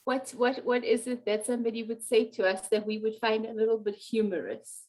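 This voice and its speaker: A woman's voice: on the high side, steady tone, fairly quick, a little mellow, rough recording. A woman from South Africa moderating a panel discussion, with a chatty, complimentary way of talking.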